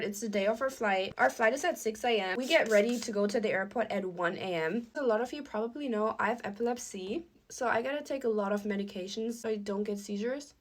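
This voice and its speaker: A woman's voice: medium pitch, a bit bright. A woman content creator telling a casual personal story to camera, acting out what others said as she goes. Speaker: social media creator.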